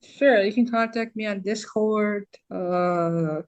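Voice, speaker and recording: A woman's voice: medium pitch, takes it slowly, lo-fi sound. A woman voice actor chatting casually as a podcast guest in a playful, informal way. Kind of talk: casual chat.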